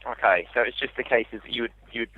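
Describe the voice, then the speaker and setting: A man's voice: mid-range voice, fast. A man working as an insurance call handler, patiently explaining things over a tinny phone line. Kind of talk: customer service phone conversation.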